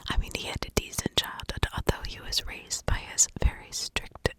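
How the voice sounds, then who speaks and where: A woman telling a true crime story in a hushed ASMR whisper, pausing deliberately between short phrases.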